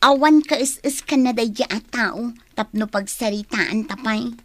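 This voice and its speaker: A woman's voice: on the high side, a little slow, no echo, lightly acted. A woman playing a flippant, teasing grown daughter in a radio drama. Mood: amused, teasing.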